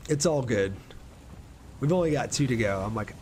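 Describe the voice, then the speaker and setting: A man's voice: medium pitch, expressive, moderate pace, a little mellow. A man casually commentating on a game livestream and chatting with his viewers. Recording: clear background noise, game ambience.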